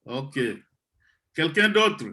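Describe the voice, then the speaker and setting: A middle-aged man from the Congo, slowly and deliberately addressing trainees of his online computer course. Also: on the high side, animated, bright, forceful tone. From Democratic Republic of the Congo. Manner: slow, deliberate, with pauses and hesitations. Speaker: project founder leading an online computer course. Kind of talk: motivational talk to trainees on a video call.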